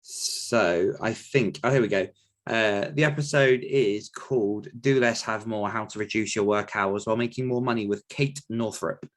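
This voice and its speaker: A man's voice: lowish voice, normal speed. A man talking casually as a podcast host, rambling a little as he thinks aloud while answering questions. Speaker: podcast host and author coach.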